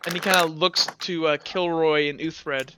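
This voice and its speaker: A man narrating a tabletop roleplaying game as game master, explaining things in a casual, halting, rambling way. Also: fairly high pitch, steady tone, music in the background.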